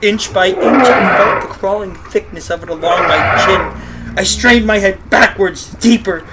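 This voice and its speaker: An actor playing an anguished, guilt-ridden doomed man in a retro radio horror drama, speaking melodramatically and haltingly, repeating words in dread. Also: high-pitched, very noisy. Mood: frantic, guilt-ridden. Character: anguished, guilt-ridden doomed man recounting his cowardice, frantic and haunted.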